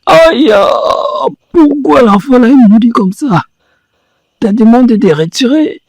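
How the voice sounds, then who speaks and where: A man's voice: very high-pitched, animated, slow pace, dry recording, full character voice. A man playing a whiny, groaning injured cartoon victim who cries out in pain and pleads. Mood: pained complaining. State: crying out in pain. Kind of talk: cartoon dialogue.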